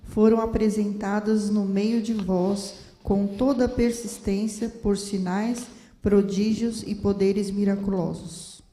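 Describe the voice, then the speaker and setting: A woman's voice: medium pitch, steady tone, slow, mellow. A woman with a Brazilian Portuguese accent, a class member reading a Bible passage aloud with care on a handheld microphone. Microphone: handheld microphone passed around the room. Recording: echoey church room.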